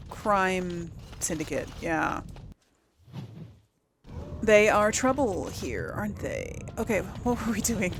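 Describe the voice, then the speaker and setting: A woman's voice: medium pitch, gravelly, slight background noise. A woman giving casual let's play commentary, chatting to viewers and rambling as she thinks aloud. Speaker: gaming video host.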